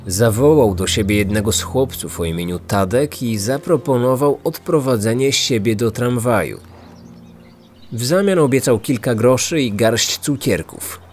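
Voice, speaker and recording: A man's voice: medium pitch, animated, moderate pace, a little hiss or hum. A man narrating a scripted true-crime podcast as its host, in a grave storytelling manner.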